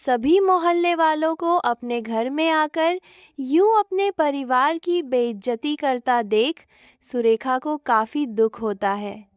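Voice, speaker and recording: A woman's voice: sits high, slow, no echo, clean studio sound. A woman narrating a scripted moral story as its storyteller.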